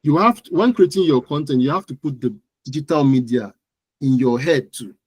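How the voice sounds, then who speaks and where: A man's voice: medium pitch, lively intonation, brisk, slightly rough, dry recording. A man with a Nigerian accent giving interview answers as an expert guest, explaining things emphatically and often repeating words and phrases. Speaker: guest digital marketing expert.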